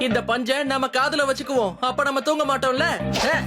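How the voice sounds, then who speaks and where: An actor voicing an excitable young cat hero in a cartoon dub, eager and exclamatory. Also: high-pitched, a little fast, close to an everyday voice. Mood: eager planning. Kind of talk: Tamil cartoon dub acting. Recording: loud music under the voice. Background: loud cartoon music.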